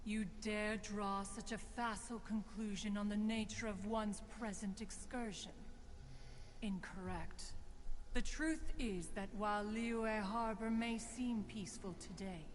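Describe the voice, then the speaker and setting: A woman's voice: mid-range voice, fairly even intonation, measured pace, long reverb tail, slight background noise, close to an everyday voice. An actress voicing an ancient female crane spirit in a game, speaking in formal, archaic phrasing. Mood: lofty. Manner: formal archaic speech, refers to herself as one. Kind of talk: game character dialogue.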